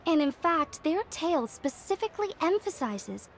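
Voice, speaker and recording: A woman playing a polite, learned young woman in an anime game, explaining in a measured, formal manner. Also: extremely high pitch, sing-song, a little hiss or hum, full character voice. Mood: scholarly, composed.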